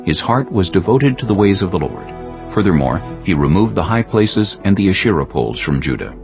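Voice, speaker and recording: A man narrating scripture as a scripted audiobook, in a solemn, measured storytelling manner. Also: low-pitched, very animated. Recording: dull sound with music bed.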